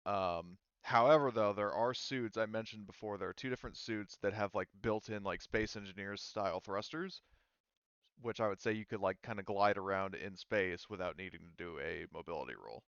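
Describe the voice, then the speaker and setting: A man working as game master, casually explaining tabletop game rules in a halting, rambling way. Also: lowish voice, a bit bright, clean tone, no echo, dull audio.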